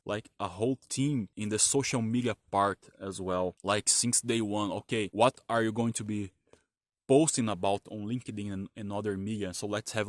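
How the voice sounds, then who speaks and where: A man whose first language is Portuguese, talking casually about his work history in a halting way with many restarts and fillers. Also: on the low side, dry recording. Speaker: vlogger telling his career story. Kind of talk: casual spoken monologue.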